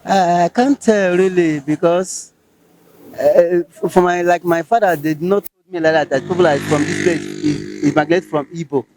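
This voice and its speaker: Hesitant Nigerian interviewee, mellow and slightly high voice. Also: male voice, fairly even intonation, normal speed, a little hiss or hum. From Etche, Rivers State, Nigeria. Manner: hesitant, many fillers and restarts.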